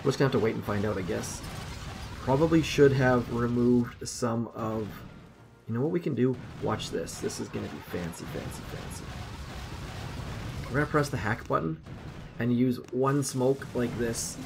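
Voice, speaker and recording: A man with a gravelly, creaky voice at an ordinary middle pitch talks his way through a mobile game. He chats loosely, thinks aloud and makes light of himself. Game music plays clearly behind him. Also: moderate pace. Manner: chatty, self-deprecating, thinks aloud. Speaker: gaming channel host. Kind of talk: casual gameplay commentary. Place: home room.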